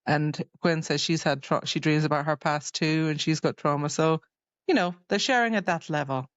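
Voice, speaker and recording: Low-voiced woman chatting, dull dry recording. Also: normal speed, dry recording. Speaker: podcast co-host. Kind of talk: casual book discussion chat. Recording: dull, slightly lo-fi microphone sound.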